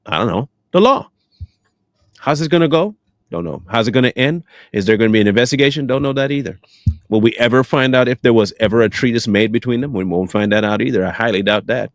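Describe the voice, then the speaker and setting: A man's voice: fairly low pitch, dry recording. American man giving dry, close-sounding commentary. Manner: deliberate, emphatic, asks and answers own questions. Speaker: online commentator. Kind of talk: off-the-cuff news and political commentary.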